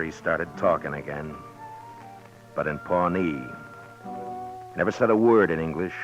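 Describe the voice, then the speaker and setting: An American man acting a gruff but humane, plain-spoken frontier marshal in a 1950s radio western. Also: low voice. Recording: dull vintage 1950s radio recording.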